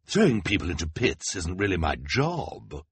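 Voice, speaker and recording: A man's voice: mid-range voice, very animated, normal speed, a bit bright, husky, no echo, pitched above the performer's own voice, exaggerated voice. A man voicing a scheming, cowardly villain in a storybook, with an oily, self-important, evasive manner. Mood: nervous evasion. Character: scheming theatrical male villain, slippery and cowardly.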